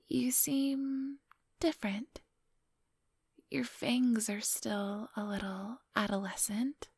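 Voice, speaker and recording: A woman acts out an ancient female vampire in an ASMR roleplay, whispering very breathily and very close. Her delivery is slow and silky, with a faint gravel underneath. She sounds polite, condescending and quietly dangerous. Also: on the high side, dry recording, heavily acted. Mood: curious, patronizing. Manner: slow, unhurried, silky and patronizing. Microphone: close microphone.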